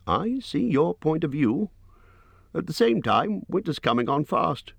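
Squeaky hobbit voiced by a man, fussy, apologetic, gravelly edge, close dry sound. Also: big pitch swings, unhurried, no room sound, heavily acted. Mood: polite. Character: fussy polite male hobbit, small, nervous.

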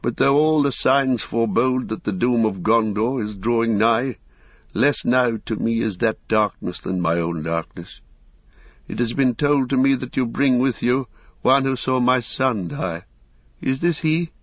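A man's voice: medium pitch, unhurried, no echo, lightly acted. A man reading an audiobook, voicing a grim, proud old male lord in grief, with a grave, cold manner. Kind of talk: audiobook character voice. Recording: dry, dull lo-fi recording.

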